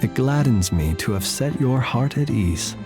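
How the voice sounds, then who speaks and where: A man voicing a courteous, formal, smooth-talking gentleman in a video game. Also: mid-range voice, lively intonation, unhurried, over music.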